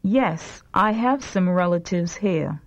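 Slow, clear woman reading lesson prompts. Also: lowish voice, animated. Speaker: language lesson reader. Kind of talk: slow repeat-after-me language drill.

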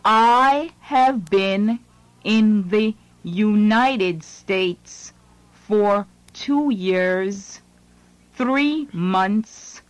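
A woman reads English lines for a language course, very slowly and carefully. Each phrase is set apart for learners to follow. The recording is dry and close, with no room sound. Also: mid-range voice, no room sound, poor audio. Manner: slow, deliberate, phrase by phrase. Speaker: language lesson narrator. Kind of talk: scripted lesson reading.

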